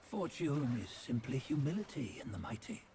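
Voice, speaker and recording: An actor voicing a stern, judgmental sage in a video game cutscene, speaking in measured, archaic, sententious phrases. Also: male voice, mid-range voice, sing-song, mellow, slight background noise, natural voice. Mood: solemn, musing. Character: stern, judgmental sage narrating old sins.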